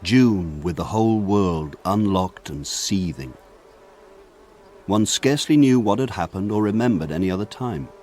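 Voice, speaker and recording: An actor playing a grown man narrating his country childhood in a radio drama, in a measured, lyrical, reflective manner. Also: deep voice, lively intonation, darkish tone. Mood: lyrical, wistful. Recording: faint insect buzz behind voice.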